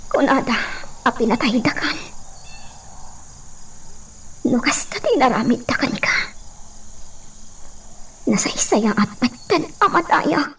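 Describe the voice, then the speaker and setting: A woman playing a sorrowful, longing woman in a radio drama, with a plaintive, halting delivery. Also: high voice, expressive, fairly bright tone, heavily acted. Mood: hushed, sorrowful. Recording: noisy background ambience. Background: clear ambient noise.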